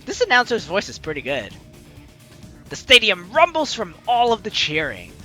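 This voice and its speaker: Bright, animated woman gamer reading aloud. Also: mid-range voice, moderate pace. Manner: excitable, chatty, dramatic reading. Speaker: gaming video host. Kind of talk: let's play commentary, reading game narration aloud. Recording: game music behind the voice. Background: game music.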